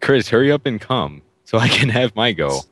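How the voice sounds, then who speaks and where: A man's voice: mid-range voice, very animated, normal speed, fairly bright tone, no echo. A man chatting casually and reading a script aloud with friends, somewhat hesitantly. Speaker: amateur participant in a group script reading.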